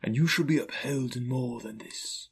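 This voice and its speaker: A man voicing a gentle, solemn ghost in a storybook reading, speaking softly and gravely with measured, mild authority. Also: medium pitch. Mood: gently commanding.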